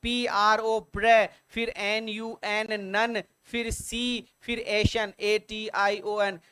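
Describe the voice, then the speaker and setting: A man teaching an online exam-prep English vocabulary lesson in Hindi. He repeats points over and over, spells words out letter by letter, and reads the English prompts slowly and deliberately. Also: high voice, little pitch movement, pushed and bright, clean tone, dry recording. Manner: addresses viewers as sir and dear children.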